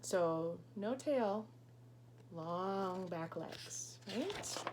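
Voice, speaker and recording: Slow, expressive woman teacher reading aloud. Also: on the low side, a little hiss or hum. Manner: explains words and prompts young listeners with questions. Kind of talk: expressive picture-book read-aloud with asides and questions.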